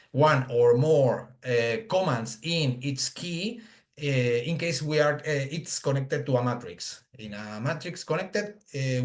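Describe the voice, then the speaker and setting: A man gives an unscripted webinar product demonstration, halting and searching for words, on a video call. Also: medium pitch. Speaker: product presenter. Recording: echoey room on a video call.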